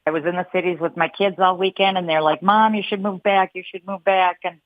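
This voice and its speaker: A woman's voice: lowish voice, steady tone, fairly quick, no room sound. A woman calling a radio show, plain-spoken and wry. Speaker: caller. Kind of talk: phone call to a radio show. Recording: muffled phone line.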